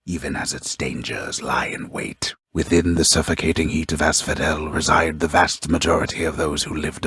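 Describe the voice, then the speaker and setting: A man voicing a solemn, wry video game storyteller, with gravelly, breathy husk and theatrical, literary phrasing. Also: rumbling low, a bit bright, dry recording, heavily acted. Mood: ominous, grave. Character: solemn old-fashioned omniscient storyteller with wry gravity. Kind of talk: scripted dramatic storytelling narration.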